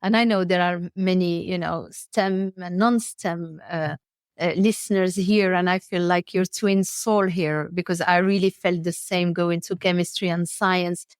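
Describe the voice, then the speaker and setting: A woman's voice: lowish voice, fairly even intonation, unhurried, smooth, dry recording, clean studio sound. A woman from Morocco hosting a podcast, interviewing a guest and affirming her answers with encouragement. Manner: warm, encouraging, frequent affirmations. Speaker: podcast host.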